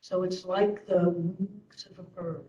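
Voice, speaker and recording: A woman leading a guided meditation as instructor, speaking gently in short phrases with long pauses. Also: lowish voice, steady tone, lo-fi sound.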